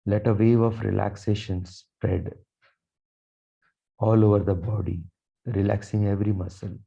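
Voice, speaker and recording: A man guiding a meditation with affirmations in a slow, calm, soothing delivery with long pauses. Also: lowish voice, creaky, slightly rough. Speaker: meditation guide, Reiki teacher. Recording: dull, muffled lo-fi sound.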